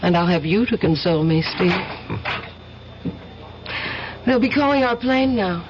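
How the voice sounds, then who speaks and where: A woman's voice: mid-range voice, expressive, brisk, a little hiss or hum, natural voice. An actress playing a poised, affectionate and determined young woman in a 1970s radio drama. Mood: poised, matter-of-fact. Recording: muffled old radio broadcast, music behind.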